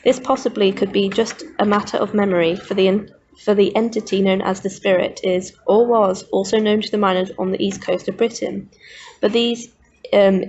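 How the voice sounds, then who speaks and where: A woman's voice: medium pitch, a little mellow. A woman student talking through research for a radio drama project. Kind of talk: reading research aloud, then casual discussion. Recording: dull lo-fi recording, faint noise.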